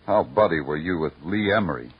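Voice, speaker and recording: Low-voiced hard-boiled radio detective. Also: male voice, a little fast, husky. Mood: dry, terse. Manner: terse, deadpan, poetic first-person narration. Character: hard-boiled male night-shift Broadway police detective, world-weary, wry. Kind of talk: 1950s radio crime drama acting. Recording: muffled old radio recording, loud music.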